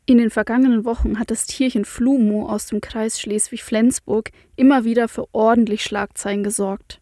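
A woman with a Franconian accent presenting the news and speaking in a clear, measured way, with a rolled R. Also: fairly high pitch, warm tone, no room sound, studio quality.

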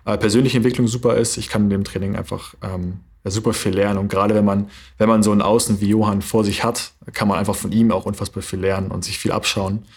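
A young German man in his twenties with a slightly low, husky voice, answering as a handball player. He talks thoughtfully and stops often to search for words. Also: level tone, fast talker, few pauses. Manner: thoughtful, many hesitation fillers. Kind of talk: interview answers.

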